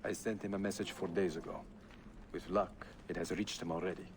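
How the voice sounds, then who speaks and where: An actor playing a stern, grieving older assassin master in a video game, with grave, formal speech. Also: male voice, sits low, level tone, brisk, background noise. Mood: grave, somber. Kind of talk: dramatic video game acting. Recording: loud music behind the voice.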